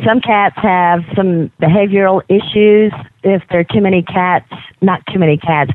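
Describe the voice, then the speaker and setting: A woman's voice: on the low side, dry recording. Southern US woman on a tinny phone line. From Mississippi, United States. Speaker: guest, animal sanctuary team leader. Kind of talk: casual interview chat. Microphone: telephone.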